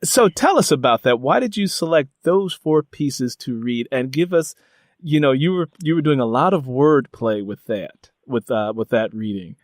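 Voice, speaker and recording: A man's voice: medium pitch, expressive, moderate pace, dry recording. Man interviewing, dry close sound. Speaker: interviewer, host. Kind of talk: conversational interview.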